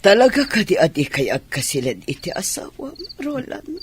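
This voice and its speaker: A concerned grandmother played by a woman with an Ilocano Filipino accent and hugely varied intonation. It is audio drama acting on a clearly noisy track. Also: mid-range voice, very animated, moderate pace, close to an everyday voice. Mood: concerned, chiding. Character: concerned grandmother scolding her grown son.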